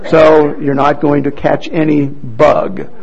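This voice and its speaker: A man leading a church service, explaining things deliberately to a congregation over a microphone. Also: on the high side, steady tone, gravelly, a little mellow, highs cut off. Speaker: church service leader introducing readers. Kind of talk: addressing a congregation, explanatory announcements.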